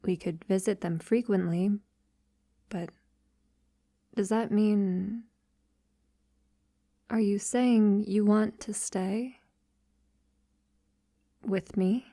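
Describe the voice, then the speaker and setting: A woman playing a tender, devoted vampire lover in a romantic ASMR roleplay, speaking softly and formally and slipping into whispers. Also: mid-range voice, steady tone, no room sound, clean studio sound. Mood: hesitant, surprised. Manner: soft, slow, breathy delivery slipping into whispers.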